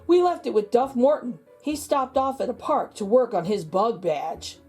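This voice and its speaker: Scout leader, read by a woman: high, emphatic storybook delivery with animated pitch. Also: moderate pace, husky, soft background music. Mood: weary.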